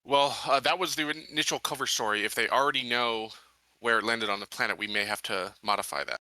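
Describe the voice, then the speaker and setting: A man playing a tabletop roleplaying game, talking casually and reasoning through plans in a deliberate way. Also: mid-range voice, pushed and bright, dry recording, low-quality recording. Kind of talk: casual in-game planning discussion.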